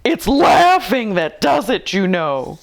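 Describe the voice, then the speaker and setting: A woman's voice: medium pitch, sing-song, slow pace, vocal fry, pitched above the performer's own voice, full character voice. A woman voicing a merry old uncle in a storybook, gushing and chuckling as he talks. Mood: merry, giggly. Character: jolly, giggly old uncle floating with laughter.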